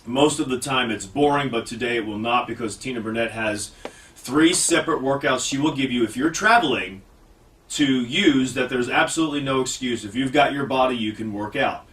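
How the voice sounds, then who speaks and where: A man's voice: mid-range voice, fairly quick, a bit bright, distant mic, a little hiss or hum. A man hosting a fitness radio show, talking casually, rambling and mocking himself. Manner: rambling, self-mocking, restarts often. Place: radio studio.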